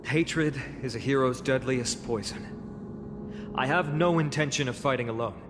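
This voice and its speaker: A man's voice: mid-range voice, background noise, close to an everyday voice. Man playing a solemn warrior commander, reverberant. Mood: solemn, restrained. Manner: measured, solemn, formal. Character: resolute warrior lord, grave commander, burdened avenger. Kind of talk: video game voice acting. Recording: long reverb, music under the voice.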